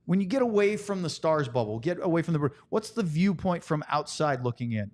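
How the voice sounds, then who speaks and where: A man's voice: on the high side, lively intonation, a little fast, a little mellow. A man hosting a conversational sports show, talking in an analytical way with plenty of statistics.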